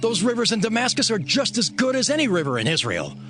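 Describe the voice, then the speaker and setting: Proud, indignant army commander, wildly swooping. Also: male voice, sits high, huge pitch swings, quick pace, a bit bright, soft background music, full character voice. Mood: offended, grumbling. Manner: grumbling, emphatic. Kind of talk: dramatized audio Bible acting.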